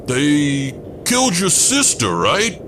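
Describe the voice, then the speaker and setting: An offhand, tactless military pilot, played by a man in a comedic radio serial. His voice sits high and moves around a lot, rambling bluntly over a noisy background. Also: wildly swooping pitch, slow pace, full character voice. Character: tactless, oblivious airman pilot, casual and blunt.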